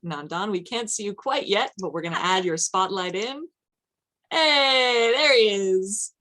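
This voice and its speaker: A woman with a mid-range voice hosts a live show. She speaks slowly and informally, in the manner of someone announcing credits and cast. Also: medium pitch, lively intonation, bright, forceful tone. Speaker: host reading credits and introducing cast.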